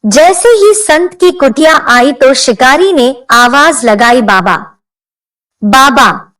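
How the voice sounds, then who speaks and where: A woman narrates a scripted devotional story in a high voice. Her delivery is slow and swings widely in pitch as she tells the tale. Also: expressive, a bit bright, far from the mic.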